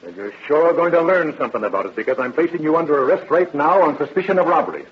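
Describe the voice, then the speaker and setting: An actor playing a brisk, confident male police detective, pressing and wry, in a 1930s-style radio crime drama. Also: fairly high pitch, expressive, natural voice. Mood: stern, accusing. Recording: dull old radio recording.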